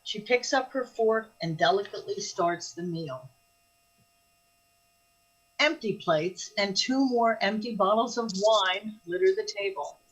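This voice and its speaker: A woman's voice: medium pitch, measured pace, breathy edge, poor audio. A woman reading scripted scene narration for a radio drama.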